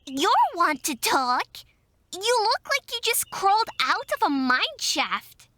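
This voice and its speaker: A woman playing an excitable, mischievous fifteen-year-old girl in an audio drama, bubbly and quick to pout. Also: very high voice, no room sound, heavily acted. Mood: irritated. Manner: bubbly, pouty, quick to bicker.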